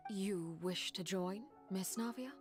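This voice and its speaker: A woman playing a composed, commanding leader of a family of orphans in a video game, speaking formally and with measured, precise words. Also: medium pitch, natural voice. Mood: calm, agreeable. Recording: music behind the voice.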